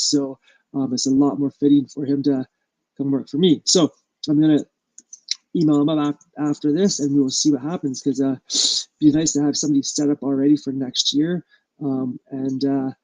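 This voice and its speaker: Man, stuffy nose, fairly high voice, dry sound, halting casual live-stream talk full of fillers. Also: mellow, no room sound, low-quality recording. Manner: halting, full of ums and uhs. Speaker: small business owner hosting a live stream.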